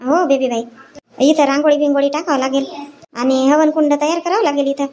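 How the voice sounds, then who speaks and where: A woman acts out a busy village housewife for a cartoon comedy. Her voice sits high, and she talks in homely rural Vidarbha Marathi, fretting and organising as she goes. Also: steady tone, fairly quick, darkish tone, close to an everyday voice. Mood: brisk, organising.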